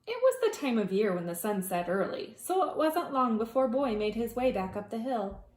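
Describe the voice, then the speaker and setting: Expressive woman reading a storybook, roomy sound. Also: on the high side, normal speed. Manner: dramatic, with hushed asides to young listeners. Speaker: preschool teacher reading storytime. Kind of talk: expressive children's storybook read-aloud.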